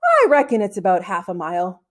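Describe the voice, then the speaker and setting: A woman's voice: mid-range voice, huge pitch swings, a little fast. A woman voicing a bold, curious schoolboy in a children's storybook, eager and persuasive. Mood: reassuring, slightly strained. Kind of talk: children's storybook read-aloud.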